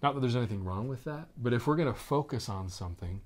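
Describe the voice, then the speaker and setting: A man's voice: mid-range voice, animated, fast talker. An American man in his forties giving informal, emphatic answers as an interview guest. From United States. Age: 40s. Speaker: interview guest, network marketing executive.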